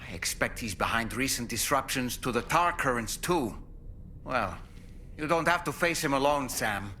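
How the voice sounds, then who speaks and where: An actor playing a chatty, polite, bookish companion in a video game, musing in formal, fussy, articulate phrases. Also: male voice, medium pitch, lively intonation, moderate pace, bright, forceful tone. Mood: musing, supportive. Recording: faint noise behind the voice.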